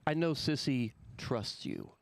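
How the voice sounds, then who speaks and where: A man's voice: medium pitch, a little slow, vocal fry, no echo. A man hosting a live local radio talk show, chatting casually and rambling with many restarts and fillers. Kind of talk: casual chat. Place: radio studio.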